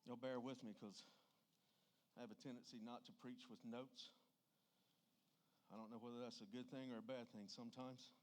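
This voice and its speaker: A man's voice: mid-range voice, flat delivery, moderate pace, long pauses, darkish tone. A man with a Southern US accent preaching a church sermon in a folksy, plainspoken and emphatic way.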